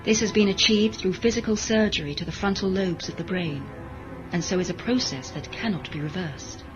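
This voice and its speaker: A woman's voice: medium pitch, fairly quick, lightly acted. A woman playing an emotionless, modified cyborg servant in a science fiction audio drama, speaking in a formal, precise, deferential way. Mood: flat, detached. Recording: dull lo-fi audio drama recording, music behind.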